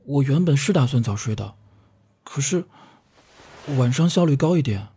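A man's voice: mid-range voice, expressive, normal speed, close to an everyday voice. A man playing a weary young student, roomy. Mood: sheepish, protesting. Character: weary, guarded male student narrating his hard youth. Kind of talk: Chinese audio drama acting, inner monologue and dialogue. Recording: roomy sound under loud music. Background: music.

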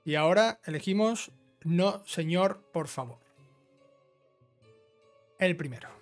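A man's voice: high-pitched, animated, takes it slowly. A man giving a casual, instructional walkthrough of a video game, often adding short check-in tags. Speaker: gaming YouTuber giving a trophy guide. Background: background music.

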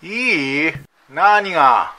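An actor playing an exasperated, apologetic young husband in a radio drama, earnest and grumbling, a little hesitant. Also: male voice, fairly high pitch, very animated, extremely slow, pushed and bright, exaggerated voice. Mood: baffled. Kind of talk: radio drama acting, conversational.

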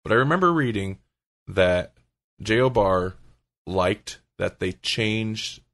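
A man's voice: fairly low pitch, expressive, takes it slowly, fairly bright tone. A man chatting casually as a podcast host, thinking aloud and often qualifying what he says.

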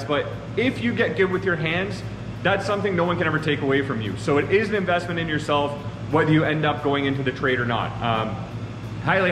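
A man in his thirties from Ontario, Canada, a machine shop owner giving earnest casual advice to camera from his shop floor. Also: a little high. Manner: earnest, talks with his hands, addresses viewers as guys. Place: large open machine shop floor, indoors. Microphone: far camera on a tripod. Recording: roomy echoey shop with long reverb and air conditioning hum.

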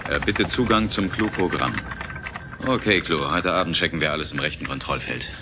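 A man's voice: sits low, fairly quick, noisy background, close to an everyday voice. An actor playing a young male programmer in an audio drama, giving urgent, commanding orders. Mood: brisk, urgent. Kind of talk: dramatized audio play dialogue.

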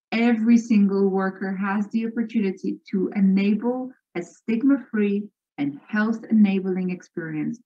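A woman in a small home room speaks into her laptop webcam, reading a prepared campaign presentation at a measured pace. Her voice sits mid-range, and the video-call audio is dull and muffled. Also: medium pitch, a little mellow. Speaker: project manager presenting a campaign.

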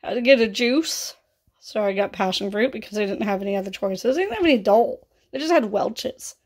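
A woman in her thirties with a scratchy, raspy voice and vocal fry, chatting casually through a grocery haul in her kitchen. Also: mid-range voice, lively intonation, a little fast, a little mellow. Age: 30s. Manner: chatty and offhand, rising into exclaimed asides. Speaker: vlogger. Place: home kitchen, indoors.

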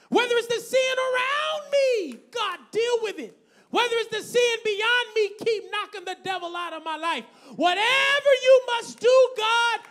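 High-pitched preacher swinging from hush to shout. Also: male voice. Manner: preaching with a rising call, swinging from hushed to shouting. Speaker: pastor. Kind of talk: sermon. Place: small church hall with string lights. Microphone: handheld microphone.

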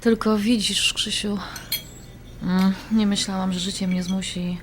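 Actress as caring, hesitant wife: conversational mid pitch, light clatter of dishes. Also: female voice, flat delivery, unhurried, background noise, close to an everyday voice. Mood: hesitant, worried. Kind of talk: naturalistic audio drama acting. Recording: faint clinking restaurant background. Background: cutlery and dishes clinking.

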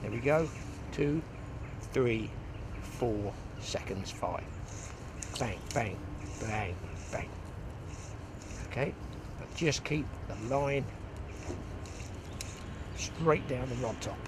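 An older man talks through a fly fishing lesson on a lakeside bank in a chatty, hands-on way. His voice sits at an ordinary middle pitch. It is picked up on a clip-on mic, with clear wind and outdoor noise behind him. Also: lively intonation, extremely slow, long pauses. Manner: chatty, hands-on, thinking aloud. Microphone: clip-on mic outdoors, some wind. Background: wind and outdoor ambience.

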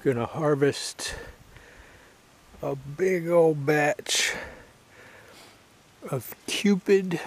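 A man's voice: on the high side, very slow, pauses often, soft background music. A man with a plain, folksy way of talking, casually narrating his home gardening vlog.

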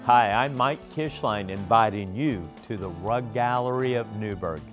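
A man who owns a business reading his own scripted ad in a measured way. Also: lowish voice, soft background music. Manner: slow, measured. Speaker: business owner in an ad. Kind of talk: scripted ad voice-over.

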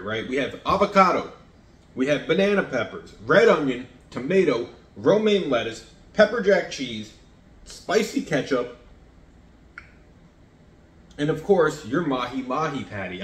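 Chatty man in an echoey kitchen. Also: medium pitch. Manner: chatty, rambling, self-deprecating, talks while eating. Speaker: home cooking vlogger. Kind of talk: casual chat to camera. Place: home kitchen, indoors. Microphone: fixed camera across the counter.

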